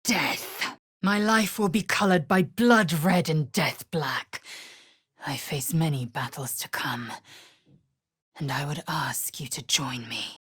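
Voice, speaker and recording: A woman playing a proud, fierce githyanki warrior in a fantasy video game, with a husky, raspy voice and a clipped, imperious, formal delivery. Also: low-pitched, slow, a bit bright, no echo, clean studio sound, heavily acted. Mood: solemn.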